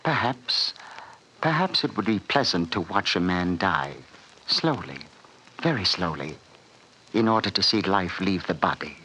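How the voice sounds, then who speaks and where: A sinister, menacing villain savoring every word in a smooth, low voice. His tone is bright and forward. It sounds like a vintage radio broadcast with a faint hiss under it. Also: lively intonation, unhurried, full character voice, poor audio. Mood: gloating. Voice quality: smooth, relishing, silkily menacing. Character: sinister older man nicknamed fat man, menacing. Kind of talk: 1940s radio acting.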